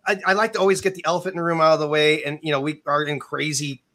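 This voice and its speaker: A man's voice: fairly high pitch, level tone, rapid-fire, bright, forceful tone. A man hosting an interview, rambling enthusiastically with many fillers. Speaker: interviewer, host. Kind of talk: casual interview chat.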